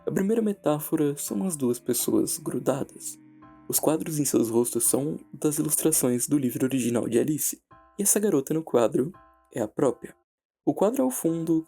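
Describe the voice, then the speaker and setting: Gravelly, mellow Brazilian man narrating. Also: on the high side. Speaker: narrator and video essayist. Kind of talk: dramatic scripted narration and analysis of horror stories. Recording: background music under the voice. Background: music.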